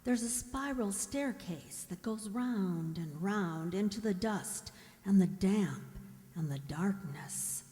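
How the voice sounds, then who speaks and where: An actress playing a fearful mute young woman whose inner thoughts are heard in a hushed, halting monologue, in old radio thriller style. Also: medium pitch, animated. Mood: uneasy, brooding. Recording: reverberant radio play with music behind.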